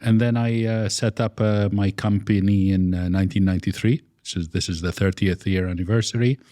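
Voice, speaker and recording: A man's voice: lowish voice, steady tone, a little fast, warm tone, dry recording, clean studio sound. A middle-aged man from Iraq, an architect guest in an interview, speaking with frequent fillers and restarts. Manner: hesitant, many fillers and restarts. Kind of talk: conversational interview.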